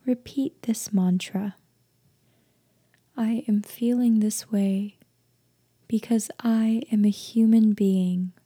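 Female meditation guide, soft half-whisper, very warm and relaxed tone, gentle slow delivery, close dry sound. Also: mid-range voice, slightly rough, no room sound. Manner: gentle, hushed, deliberate with long pauses.

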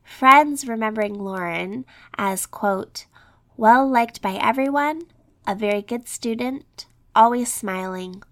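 A woman's voice: fairly high pitch, slow, a bit bright. A woman hosting a true crime podcast, reading a scripted narration in a slightly scratchy voice as she recovers from an illness. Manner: reads script with quotes and statistics.

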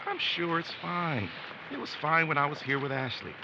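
A man's voice: fairly high pitch, normal speed, dull audio, background noise, natural voice. A man playing an easygoing young guide in a supernatural audio drama, talking casually and explaining things. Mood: explanatory. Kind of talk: audio drama acting, conversational.

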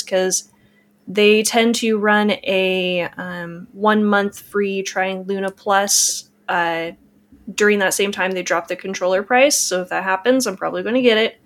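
A woman's voice: medium pitch, fairly bright tone. A woman speaking casually as a guest on a podcast. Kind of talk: casual chat.

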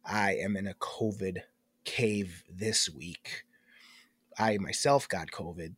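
A man's voice: low voice, slow, husky, dry recording. A young man co-hosting a podcast in casual chat, congested and stuffed up, rambling and cutting himself off as he talks. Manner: rambling, self-interrupting, animated. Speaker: podcast co-host.

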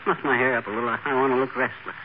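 An actor playing a boastful, lazy middle-aged husband who milks a sickness, with an excitable, blustery delivery in 1940s radio sitcom style. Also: male voice, medium pitch, racing, phone-quality sound, close to an everyday voice. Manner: blustery, excitable, show-offy. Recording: thin, hissy 1940s radio broadcast.

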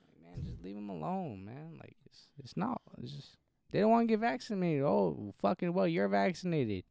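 A young man on a podcast with a gravelly, fry-heavy voice, talking bluntly and cursing freely. The sound is dry and close, with no room around it. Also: high-pitched, sing-song, moderate pace, dark tone, dry recording. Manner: blunt, swears freely, gets worked up. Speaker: podcast co-host. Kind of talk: casual chat.

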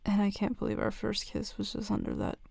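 A young woman playing a shy, nervous tomboy best friend confessing a crush in an intimate audio roleplay, hesitant and halting. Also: medium pitch, big pitch swings, creaky, a little mellow, no echo, clean studio sound, exaggerated voice. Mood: flustered, nervous.

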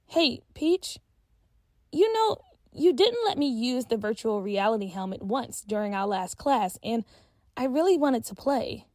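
A woman's voice: fairly high pitch, expressive, a little slow, warm tone, no echo, clean studio sound. A woman voicing a frank schoolgirl who speaks her mind in a direct, sassy way. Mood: polite. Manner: direct, sassy, plain-spoken.

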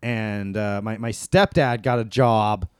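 A man's voice: on the low side, steady tone, takes it slowly, clean tone, no room sound, studio quality. A man co-hosting a comedy podcast, joking crudely and boastfully with plenty of swearing. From USA. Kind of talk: casual comedy podcast chat.

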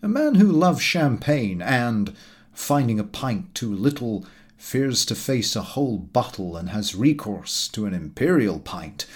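A man acts out a self-important American gentleman from a comic storybook, holding forth like a lecturer. His delivery is grand, opinionated and declamatory, with widely swinging intonation at a medium pitch. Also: sing-song, a little slow. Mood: scornful oratory. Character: pompous, forceful American man lecturing fellow dinner guests. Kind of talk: storybook character dialogue.

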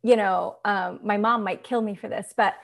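A woman's voice: mid-range voice, fairly even intonation, moderate pace, gravelly. A woman from Montreal whose first language is Italian, chatting casually as a podcast co-host. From Montreal, Canada. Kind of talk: casual, unscripted chat.

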